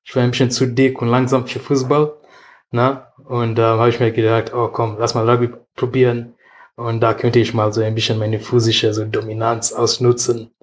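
A man's voice: medium pitch, level tone, normal speed. A man from Kenya, a rugby player, explaining in simple phrasing with frequent tag questions. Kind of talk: casual interview answers.

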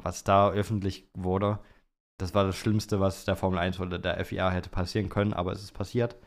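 Low-pitched young man, casual podcast co-host. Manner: colloquial, slangy. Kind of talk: casual chat about Formula One.

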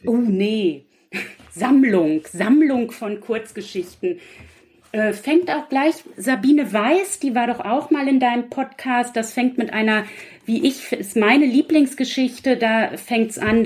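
A woman's voice: medium pitch, husky. A woman talking casually as a guest author on a podcast, explaining things in a chatty, loosely structured way.

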